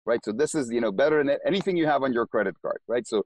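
A man's voice: mid-range voice, expressive, fast, darkish tone, no room sound. A man with an Israeli accent, a company co-founder, explaining his business in an interview and often repeating words as he starts sentences.

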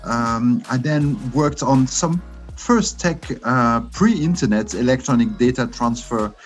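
A man's voice: medium pitch, soft background music. A man in his fifties with a French accent, a guest answering in a casual interview with lots of restarts and fillers. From France. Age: 50s. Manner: halting, many restarts and fillers. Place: his room at home.

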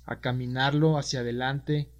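A man's voice: mid-range voice, flat delivery, moderate pace. Man hosting a podcast, lo-fi sound. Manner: friendly, conversational, wraps up warmly. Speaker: podcast host. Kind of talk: podcast interview. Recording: dull lo-fi audio.

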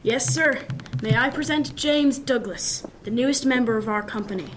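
A woman playing a high-voiced young ship's officer. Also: moderate pace, a bit bright, slight background noise, lightly acted. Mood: brisk, courteous. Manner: formal, nautical old-fashioned phrasing. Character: level-headed, dryly witty young male ship's officer, 1717. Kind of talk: period radio drama acting.

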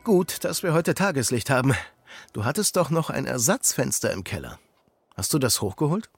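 A kind, practical, devout friend in a children's radio play. His voice is husky and creaky, with animated intonation and a gentle, reassuring way of talking. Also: mid-range voice, normal speed, no echo, faint music behind. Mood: friendly. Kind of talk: children's audio drama acting.

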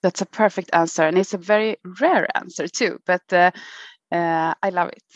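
A woman's voice: fairly low pitch, steady tone, moderate pace, no echo, highs cut off. A woman hosting an interview in a chatty, upbeat way, laughing often. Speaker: podcast host. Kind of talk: casual interview.

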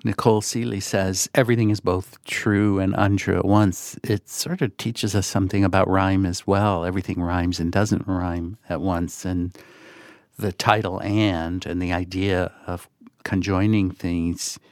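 Man, deep voice, gravelly fry, close dry sound, reflective literary talk, thoughtful and hesitant. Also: fairly even intonation, unhurried, a little mellow, dry recording. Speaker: magazine editor and podcast host.

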